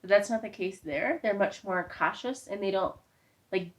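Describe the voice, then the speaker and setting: Woman, from the US, mid pitch, chatty, casual, lots of "like". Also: normal speed. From United States. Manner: chatty, says "like" a lot. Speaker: interview guest. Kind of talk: casual interview conversation.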